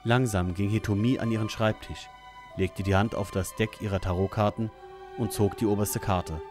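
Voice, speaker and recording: Low-voiced male story narrator. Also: fairly even intonation, moderate pace, a little mellow. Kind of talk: scripted audio drama narration. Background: music.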